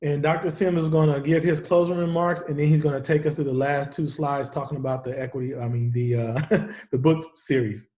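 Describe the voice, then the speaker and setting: A brisk male moderator, speaking a little high for a man, keeps things short as he hands off to each panelist. His voice sounds roomy and echoey. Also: steady tone, darkish tone. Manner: brisk, brief. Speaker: webinar moderator.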